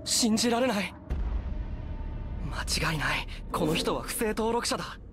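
Game sidekick: deferential youngster, lively intonation, formal and fawning. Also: male voice, high voice, moderate pace, background noise. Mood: eager awe. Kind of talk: dramatic video game acting.

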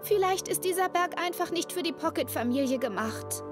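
A woman voicing a plucky but self-doubting young girl racer in a cartoon, youthful and expressive. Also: very high voice, normal speed. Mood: dejected. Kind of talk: German cartoon dub acting. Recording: music behind the voice.

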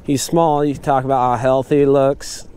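A man's voice: mid-range voice, level tone, moderate pace, darkish tone, clean tone. A man chatting and teasing his buddies while fishing from a boat on a river, filmed by an action camera. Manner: joking, coaching, teasing. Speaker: fishing guide and channel host. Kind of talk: casual banter while fishing. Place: small boat on a wide river outdoors.

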